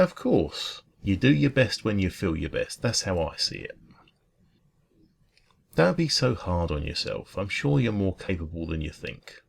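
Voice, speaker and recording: A man playing a friendly, caring dragon who runs a cosy café, talking gently and reassuringly in an ASMR roleplay. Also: low voice, creaky, exaggerated voice. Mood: gentle, encouraging.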